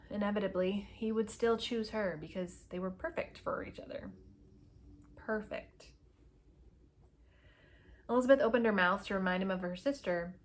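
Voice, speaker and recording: A woman narrating a novel in an expressive, dramatic storybook read-aloud style with emphatic phrasing. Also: medium pitch.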